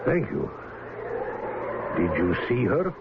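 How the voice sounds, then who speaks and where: An actor playing a commanding, enigmatic ship's captain in a vintage radio drama, with an emphatic, theatrical delivery. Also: male voice, low voice, very animated, slow pace, heavily acted. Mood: brooding. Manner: theatrical, emphatic, speaking with authority. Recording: tinny, very noisy old radio broadcast.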